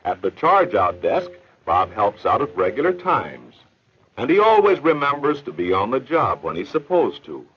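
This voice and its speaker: A man's voice: mid-range voice, very animated, moderate pace. A man narrating an educational film in an earnest, explanatory storytelling manner. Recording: dull old film soundtrack with faint hiss.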